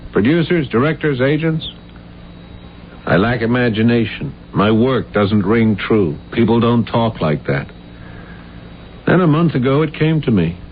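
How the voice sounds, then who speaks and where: An actor playing an earnest, halting, guilt-ridden failed playwright in a 1970s radio drama. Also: male voice, mid-range voice, animated, close to an everyday voice. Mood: bitter, troubled. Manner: halting, intense, stammering when flustered. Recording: dull, noisy old radio recording with hum.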